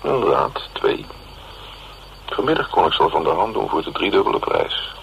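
A gruff investigator with a very deep, gravelly voice, dictating to his secretary. He is wry and grumbling in a colloquial way, on a slightly noisy radio drama recording. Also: big pitch swings, normal speed, heavily acted. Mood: teasing, boastful.

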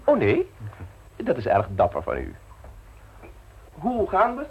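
An actor playing a suave, insolent blackmailer in a radio play, mockingly polite and smoothly domineering. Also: male voice, on the high side, wildly swooping pitch, takes it slowly, narrow, tinny audio, full character voice. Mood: mocking, threatening. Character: suave, insolent male blackmailer installing himself as private secretary.

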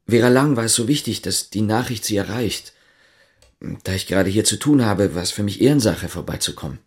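Low-voiced, guarded policeman played by a man. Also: fairly quick, natural voice. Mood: sober, controlled. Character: Martin, guarded East German policeman on a covert errand. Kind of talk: radio drama acting with inner monologue.

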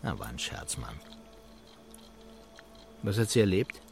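Sceptical male colleague for radio drama: dry, blunt, teasing, low-set voice with animated melody. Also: slow, vocal fry, soft background music, heavily acted.

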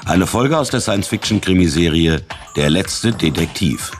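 A man's voice: deep voice, animated, normal speed. A man reading scripted closing credits as the announcer of a radio drama. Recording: music under the voice.

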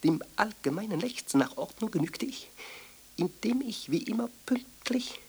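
A woman in a radio drama playing an eccentric, exalted and fussy ascetic, breathy and theatrical, halting between muttering and exclaiming. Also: mid-range voice, expressive, darkish tone. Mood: fussy, interrogating. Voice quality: husky, breathy. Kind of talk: literary radio drama monologue.

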